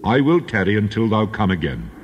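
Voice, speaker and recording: An actor playing the Lord God in a dramatized scripture reading, a solemn, authoritative divine voice. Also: male voice, lowish voice, sing-song, fairly quick, lo-fi sound, a little hiss or hum. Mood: solemn, assuring. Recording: long cavernous reverb.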